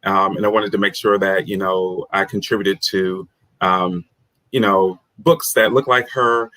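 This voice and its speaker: Low-voiced American man, dry close sound. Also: fairly even intonation, a little slow, pushed and bright, no echo, low-quality recording. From United States. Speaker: guest author, career coach. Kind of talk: interview answers.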